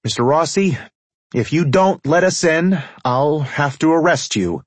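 Man putting on a high, timid police chief. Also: lively intonation, a little slow, no room sound. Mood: strained official warning. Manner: hesitant, anxious. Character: timid, nervous, charmless male police chief.

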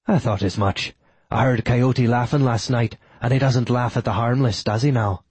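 A man voicing a brisk, probing werewolf lawyer in a faux Irish accent for a novel reading. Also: medium pitch, a little mellow, breathy edge, no echo, lightly acted. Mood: knowing, brisk.

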